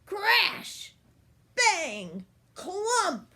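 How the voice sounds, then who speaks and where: An older woman reading a picture book aloud for children in an expressive, unhurried way. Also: very high voice. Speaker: storyteller.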